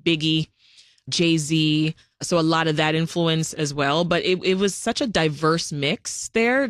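Radio host from Jamaica, chatty, quick laughs, mid-pitched voice, dry close studio mic. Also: a bit bright, no echo. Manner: chatty, laughs often, many fillers. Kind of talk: casual interview chat.

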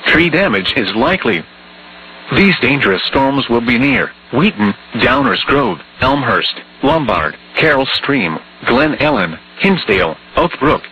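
Flat synthetic male alert voice. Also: medium pitch. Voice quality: flat, even computer-like delivery. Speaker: weather alert announcer. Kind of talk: automated emergency alert reading. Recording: dull lo-fi broadcast with faint hum.

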